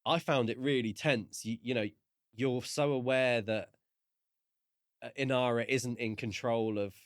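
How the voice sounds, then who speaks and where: Man, medium pitch, dry recording, podcast co-host, thoughtful halting phrasing, casual discussion. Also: fairly even intonation, no echo.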